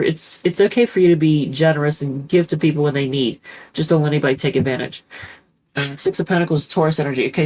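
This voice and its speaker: A woman's voice: deep voice, a little mellow, low-quality recording. Chatty congested woman reading tarot. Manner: rambling, chatty, self-correcting with many ums. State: congested from a cold. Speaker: tarot reader.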